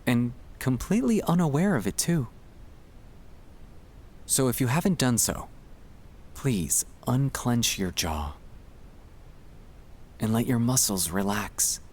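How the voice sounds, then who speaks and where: A man speaking in a gentle, soothing, reassuring way, delivering a scripted ASMR guided relaxation to help the listener sleep. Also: medium pitch, very animated, slow pace, pauses often, breathy edge.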